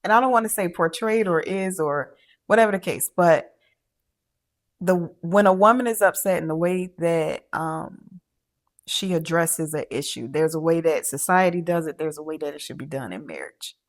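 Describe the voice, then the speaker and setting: A middle-aged woman co-hosting a podcast in casual chat, talking haltingly with many fillers and restarts. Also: sits low. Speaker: podcast co-host.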